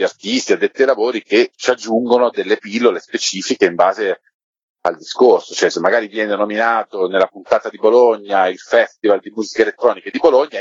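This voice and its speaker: Husky low-voiced man in interview. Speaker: podcast curator being interviewed. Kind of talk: conversational interview answers. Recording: roomy, dull lo-fi line.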